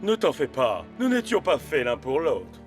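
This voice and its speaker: High-voiced, good-natured cartoon nobleman. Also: wildly swooping pitch, moderate pace, soft background music. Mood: warm. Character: good-natured, loyal male master of the castle. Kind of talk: French-dubbed children's cartoon acting.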